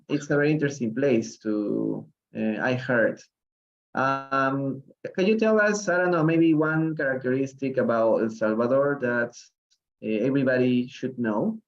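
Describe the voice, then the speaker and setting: A man's voice: medium pitch, muffled recording. A man from Peru teaching an English reading lesson on a live stream, encouraging his students with many fillers and hesitations. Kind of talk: live online reading lesson, switching to Spanish. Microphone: livestream call audio.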